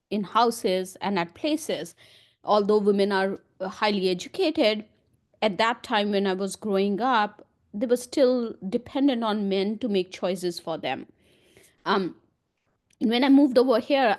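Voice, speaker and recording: A woman from India, telling her story with confidence on an online panel over a video call. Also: mid-range voice.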